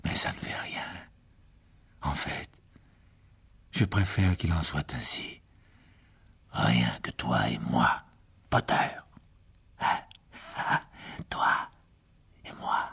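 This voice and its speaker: A man voicing a cruel, gloating young dark wizard, speaking in a slow, low, menacing murmur. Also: lively intonation, gravelly, slightly rough, in a whisper, heavily acted. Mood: quiet sinister. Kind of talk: audiobook character voice.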